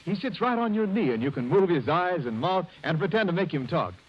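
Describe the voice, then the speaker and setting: Animated, husky 1930s radio pitchman. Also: a little fast. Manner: emphatic, salesmanlike. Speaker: radio commercial announcer. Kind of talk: 1930s radio advertisement read. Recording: dull vintage radio recording.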